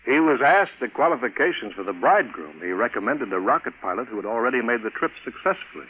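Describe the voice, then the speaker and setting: An actor playing a matter-of-fact space project chief in a 1950s radio drama, with measured, conversational authority. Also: male voice, medium pitch, sing-song, close to an everyday voice. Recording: tinny, noisy vintage radio broadcast.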